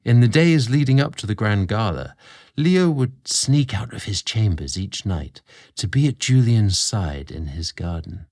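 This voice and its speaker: A man narrating a scripted romantic story in a measured, expressive storybook manner. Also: fairly low pitch.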